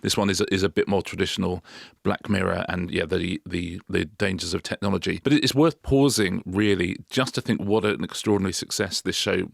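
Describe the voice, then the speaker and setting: A man with a very low, deeply gravelly voice talks fast in a casual podcast chat, often restarting his sentences as he tells a story. The sound is close and dry, like a studio mic. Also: fairly even intonation, no room sound. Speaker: podcast co-host.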